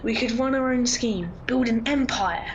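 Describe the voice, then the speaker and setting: A woman's voice: on the high side, moderate pace, dull audio, slight background noise, lightly acted. A woman playing a cheeky, scheming young male pickpocket in an audio drama, brash and streetwise.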